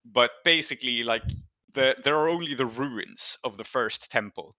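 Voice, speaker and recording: Male game master, very bright forceful voice, improvised narration that halts as he thinks, close dry slightly dull mic. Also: medium pitch, moderate pace, no echo. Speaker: game master of a tabletop role-playing show.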